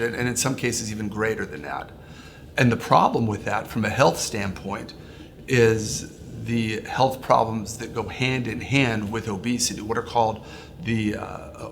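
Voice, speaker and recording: A man speaking as a medical expert, explaining deliberately and pausing to define terms. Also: lowish voice, vocal fry, fairly bright tone, a little hiss or hum. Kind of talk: explanatory interview talk.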